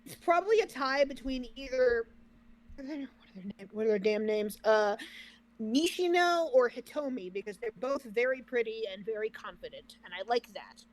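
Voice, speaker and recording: A woman's voice: a little high, lively intonation, moderate pace, low-quality recording. A woman co-hosting a reaction livestream, chatting casually with the viewers. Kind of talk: casual chat with stream viewers.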